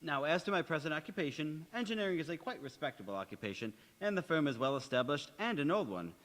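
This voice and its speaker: An actor playing an earnest, long-winded young suitor in an old-time radio drama, speaking formally and hesitantly with stammers. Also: male voice, fairly high pitch, big pitch swings, fast talker, a bit bright. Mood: nervous, earnest. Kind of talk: 1940s radio drama acting on stage. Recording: echoey hall, long reverb.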